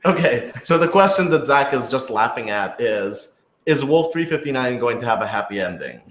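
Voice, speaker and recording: A man answering questions in a casual discussion, thinking aloud with halting, hedged phrasing. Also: mid-range voice, expressive. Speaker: podcast creator and writer reading fan questions. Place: indoor room with echo. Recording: roomy, echoing room sound.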